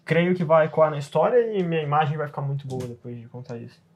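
Guy doing a self-promoting wizard agent for an rpg, chummy, grandiose, voice a bit high for a man. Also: lively intonation, fast, lightly acted. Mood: chummy, self-important.

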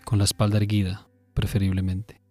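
A man narrating a scripted guided meditation in a measured, instructive way, pausing between phrases. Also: fairly low pitch, monotone, a little mellow, husky, dry recording, soft background music.

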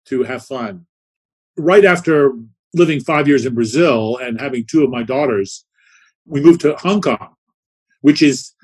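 A man's voice: medium pitch, breathy edge. A man interviewed as a guest, answering in a reflective, storytelling way and often checking in with "right?". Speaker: guest, business consultant.

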